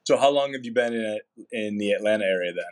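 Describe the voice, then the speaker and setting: A man's voice: lowish voice, fast, clean tone. A man hosting an interview, asking friendly, conversational questions. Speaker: interview host.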